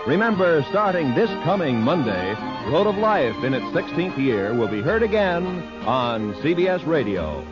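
A man's voice: a little high, very animated, normal speed, few pauses. A man announcing in formal, declamatory 1950s network radio style, reading from a script. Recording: dull old 1950s radio broadcast, music behind.

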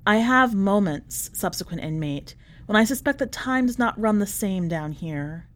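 A woman voicing a polite, chatty, quietly unhinged prisoner writing a letter, in a prim manner, as a dramatic story reading. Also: medium pitch, animated, normal speed, close to an everyday voice. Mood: wistful, musing. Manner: prim, ornate, politely understated phrasing.

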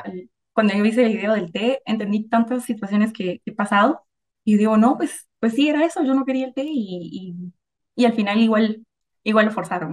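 A woman in her twenties, a guest in a casual group discussion, speaking thoughtfully and hesitantly with many fillers. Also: mid-range voice. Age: 20s.

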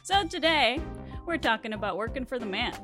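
A woman's voice: a little high, lively intonation, brisk, music in the background. A woman in her thirties from Mexico, whose first language is Spanish, telling a candid story as a podcast host with laughs between phrases.

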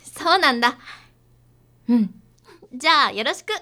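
A woman's voice: sits high, lively intonation, moderate pace, very noisy. A woman playing a perky, pushy young idol in a radio drama, girlish, breezy and familiar. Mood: excited, wheedling.

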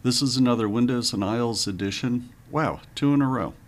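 A man hosting a travel podcast, talking in a relaxed, friendly and earnest way. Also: mid-range voice, a little fast. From United States. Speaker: podcast host. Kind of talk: casual podcast chat.

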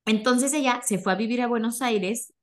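A woman's voice: a little high, moderate pace. A young woman with a Mexican accent telling stories in a casual, chatty, gossipy way as a podcast host. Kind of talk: casual conversational storytelling.

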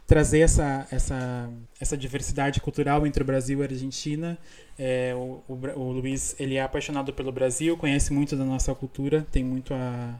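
A man's voice: medium pitch, a little mellow. Chatty Brazilian man hosting a podcast. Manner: chatty, laughs often, many restarts. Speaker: podcast host. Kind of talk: casual chat.

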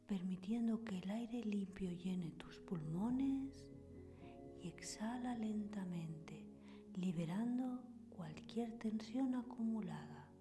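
A woman host leading a scripted guided sleep meditation in a soothing, breathy whisper, with pauses between short phrases. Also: mid-range voice, animated. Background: soft meditation music with singing bowls.

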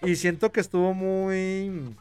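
A man with a Mexican Spanish accent hosting a casual podcast chat, excitable and laughing, swearing freely. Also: high voice, slow pace, clear, resonant tone, soft background music. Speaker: podcast host.

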